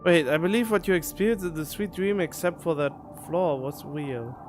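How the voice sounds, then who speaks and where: Male streamer, high voice, casual and questioning, thinking aloud over faint game music. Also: moderate pace. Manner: puzzled, thinking out loud, questioning. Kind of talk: casual live playthrough commentary, reading dialogue aloud.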